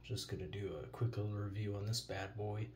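A man's voice: lowish voice, little pitch movement, fairly quick, a little mellow. An American man giving a casual, offhand product demonstration as a reviewer, recorded indoors at a countertop. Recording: noticeable noise, handling clicks.

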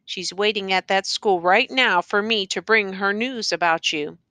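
A woman's voice: mid-range voice, a little slow, sharp tone, no echo. A woman voicing an earnest, exasperated male schoolteacher who pleads insistently in educated diction in an audiobook story.

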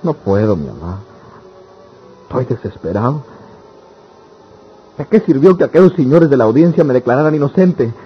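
A man acting a heartbroken young rural lover in a radio melodrama, halting and pleading. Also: medium pitch, sing-song, breathy edge. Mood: despairing. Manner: halting, repeats words, pleading. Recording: dull lo-fi radio drama, loud music.

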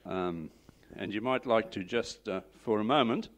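A man hosting at a church, welcoming a guest pastor in a hesitant way with many pauses and fillers. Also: lowish voice. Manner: hesitant, full of uhs. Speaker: church host. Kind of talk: welcome announcement.

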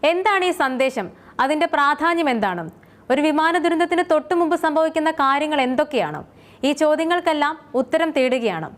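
A woman reading a scripted explainer as its narrator. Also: high voice.

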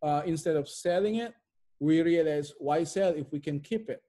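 Mellow-voiced, higher-pitched man, emphatic. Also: moderate pace. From immigrant to Canada. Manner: emphatic, repeats phrases for effect. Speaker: interview guest, real estate investor. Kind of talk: conversational interview answers. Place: bright living room at home.

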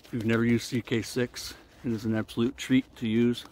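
A man in his forties giving a casual explanatory talk to camera as a vlogger, with deliberate, halting phrasing, recorded outdoors. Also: mid-range voice, flat delivery, darkish tone. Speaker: vlogger reviewing shaving products. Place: outdoors in a wooded park clearing. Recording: noisy outdoor ambience. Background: outdoor ambient noise.

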